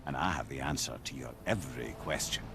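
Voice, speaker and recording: An actor playing a talkative, witty, cocky captive sage in a fantasy game, speaking like a theatrical storyteller. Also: male voice, rumbling low, a little fast, gravelly, background noise. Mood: boastful, cheeky.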